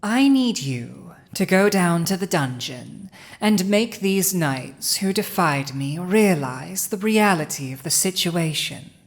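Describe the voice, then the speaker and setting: A woman's voice: on the low side, expressive. A woman playing a haughty, cunning dragon ruler in human form, smug and commanding, in a roleplay audio drama spoken to the listener. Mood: commanding, silky. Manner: smug, commanding, deliberate, with pauses.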